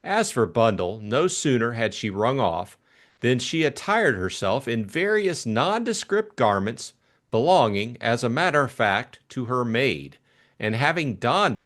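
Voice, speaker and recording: A man narrating a classic mystery novel as scripted, expressive audiobook reading. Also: medium pitch.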